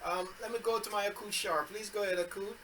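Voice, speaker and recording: A man teaching a study group over a video call. His explanations are patient and unhurried, with plenty of ums and repeated words. Steady background noise runs under the noisy call audio. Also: sits high. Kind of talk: online scripture study.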